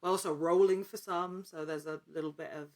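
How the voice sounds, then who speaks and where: A woman with a slightly low voice speaks as a guest in a relaxed interview. She is earnest, working out her thoughts aloud and often breaking off to rephrase. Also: fairly quick. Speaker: podcast guest, actor and actual-play storyteller. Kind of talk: casual interview conversation.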